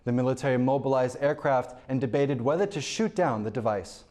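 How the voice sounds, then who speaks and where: A man anchoring a television newscast, reading the headlines in a measured, even way from a studio. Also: medium pitch. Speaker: news anchor. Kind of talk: scripted news reading.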